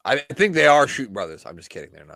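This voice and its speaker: A man's voice: mid-range voice, very animated, fast talker, a bit bright, no room sound. A man co-hosting a casual podcast, joking and laughing as he chats over an old wrestling broadcast. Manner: joking, laughs often, banters. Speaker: podcast co-host.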